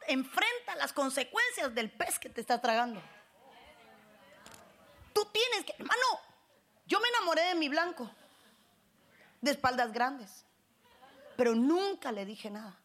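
A middle-aged woman from Cuba with a Cuban Spanish accent, preaching a sermon as pastor with call-and-response and emphatic high bursts. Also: big pitch swings, unhurried, pauses often, a bit bright.

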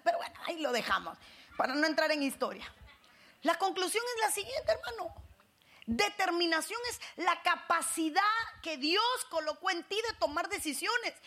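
A middle-aged woman from Cuba with a Cuban Spanish accent, preaching a sermon as pastor with call-and-response and emphatic high bursts. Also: a bit bright.

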